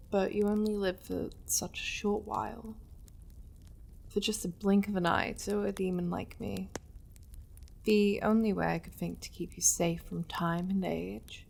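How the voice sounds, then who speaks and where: A woman acts out a lovestruck demon lady in an ASMR romance. She speaks very slowly in a breathy, mid-pitched voice with a creaky edge. Her manner is intimate and tender, with a possessive streak and hesitant, confiding phrasing. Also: darkish tone, slight background noise. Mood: tender, regretful. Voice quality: breathy, often dropping to whispers.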